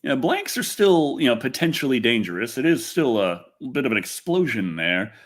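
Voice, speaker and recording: A man with a medium-pitched voice hosts a casual livestream. He rambles through his thoughts in a halting way, doubling back on phrases. His wording is blunt and informal. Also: sing-song. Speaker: podcast host. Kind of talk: casual livestream chat on film news.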